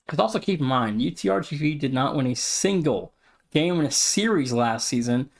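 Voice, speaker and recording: A man's voice: a little high, animated, moderate pace, creaky. A man co-hosting a sports podcast, casually running through standings and team updates. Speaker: podcast co-host.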